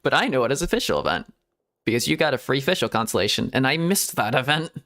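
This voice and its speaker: A man's voice: mid-range voice, very animated, fast talker, sharp tone. A man streaming a game, chatting casually in a rambling, giggly way that often trails off and restarts. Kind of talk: casual gameplay commentary.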